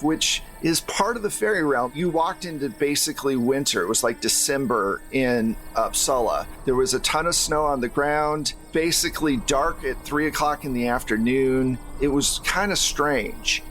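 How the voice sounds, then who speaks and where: A man's voice: medium pitch, fairly bright tone. A man hosting a tabletop roleplaying game as game master, narrating in a casual, conversational way. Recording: faint background noise and ambience.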